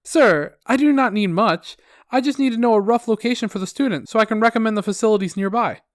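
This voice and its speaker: A man's voice: high voice, fast, dry recording, studio quality, pitched above the performer's own voice, close to an everyday voice. A man voicing a relentlessly cheerful, over-polite male customer service rep in a told story. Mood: patient, insistent.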